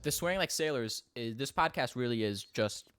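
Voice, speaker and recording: A young man co-hosting a podcast, chatting casually in a quick, rambling way and laughing often. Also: medium pitch, animated, a bit bright, clear, resonant tone, no room sound, clean studio sound. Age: young adult. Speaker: podcast co-host. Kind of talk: casual chat. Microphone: studio podcast mic.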